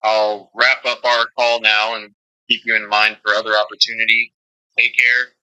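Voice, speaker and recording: A man's voice: on the low side, level tone, normal speed, bright, forceful tone, smooth, poor audio. A man's voice as a recruiter, conducting a scripted screening call in a polite, formal manner over band-limited phone audio.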